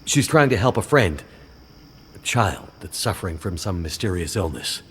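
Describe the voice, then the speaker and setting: An actor playing an earnest, weary, determined survivor in a video game cutscene, terse and serious. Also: male voice, low voice, very animated, normal speed, fairly bright tone, breathy edge, exaggerated voice. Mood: worried, determined.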